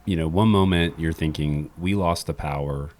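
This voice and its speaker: A man's voice: rumbling low, normal speed, darkish tone. A man hosting a podcast, asking reflective interview questions and offering brief affirmations. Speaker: podcast host.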